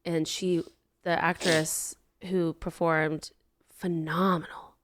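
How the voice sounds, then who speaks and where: A woman co-hosting a podcast in a studio, chatting casually, gushing with enthusiasm and quickly agreeing with her co-host. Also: deep voice, level tone. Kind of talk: casual chat.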